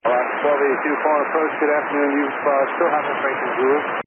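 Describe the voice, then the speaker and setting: A man's voice: on the high side, little pitch movement, racing. A man working as an air traffic controller, speaking in clipped, procedural phrases over the radio. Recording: noisy, dull aviation radio transmission.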